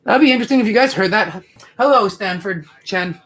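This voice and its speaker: A man's voice: high voice, a little fast, a bit bright, slightly rough. A young man hosting a casual live stream, talking to viewers in a rambling, chatty way with lots of fillers and restarts. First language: English. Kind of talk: casual live commentary chat.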